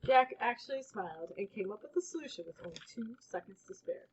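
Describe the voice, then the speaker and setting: A woman reads a children's story aloud with lively swings in pitch. Her voice is a little husky and sits at an average height. The sound is somewhat dull and lo-fi. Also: fairly quick. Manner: animated, acts out exclamations and characters' lines. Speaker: storybook reader. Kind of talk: expressive children's read-aloud with casual asides.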